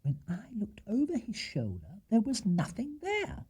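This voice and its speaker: A man's voice: high voice, huge pitch swings, a little slow, a little mellow, husky, pitched above the performer's own voice, full character voice. A British man voicing a suspicious, fretful middle-aged housewife in a storybook, chatty and confiding.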